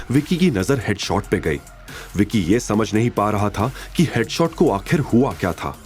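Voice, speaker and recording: Low-voiced man narrating a dramatic story. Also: a little mellow.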